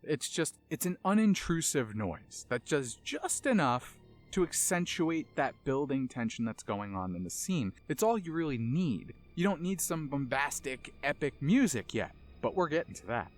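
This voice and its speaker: A man's voice: on the high side, big pitch swings, normal speed. A man hosting a video essay with casual analytical commentary, dramatic emphasis and colloquial asides.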